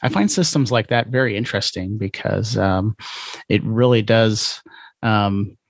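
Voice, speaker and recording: Low-voiced man hosting a casual podcast. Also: measured pace, dry recording. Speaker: podcast host interviewer.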